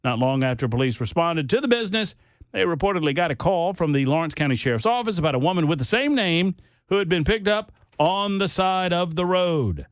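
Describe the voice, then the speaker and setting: A man's voice: a little high, lively intonation, brisk, dry recording. Male radio host, playful and mocking, dry studio voice. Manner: jokey, mocking asides. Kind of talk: reading news stories on talk radio.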